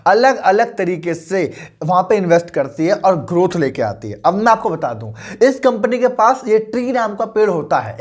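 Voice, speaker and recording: A man's voice: high-pitched, darkish tone. A young man in his twenties coaching on investing, talking persuasively and often checking that the listener is following. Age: 20s. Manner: persuasive, mixes English into Hindi, asks the listener questions. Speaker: finance coach. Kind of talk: motivational finance lesson.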